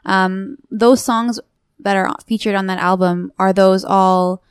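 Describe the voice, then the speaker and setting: A woman's voice: medium pitch, steady tone, takes it slowly, clear, resonant tone, dry recording, studio quality. A woman interviewer asking questions, often repeating words as she gets them out.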